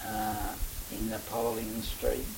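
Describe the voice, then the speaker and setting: An elderly man with an Australian accent recalls the past in a halting, rambling manner. His voice is fairly low and very even in pitch, with slow phrasing. There is a faint hiss on the recording. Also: gravelly. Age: older, 70s or above. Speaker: interviewee recalling local history. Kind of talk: oral history reminiscence.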